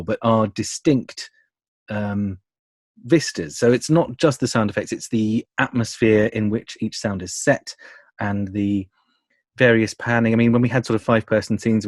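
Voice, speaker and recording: A man's voice: on the low side, a little mellow, dry recording. A man explaining his directing work in a casual interview, self-deprecating as he goes. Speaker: audio drama writer and director, interview guest. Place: indoors, remote call.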